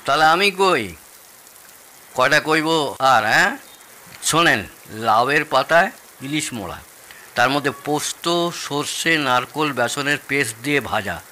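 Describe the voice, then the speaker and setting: Male actor as proud East Bengali in-law, middle-aged, very bright forceful voice, lively swooping pitch, audio drama bragging. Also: unhurried. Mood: savouring, proud. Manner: theatrical, savouring, bragging. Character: boastful food-loving Bengali father-in-law from East Bengal.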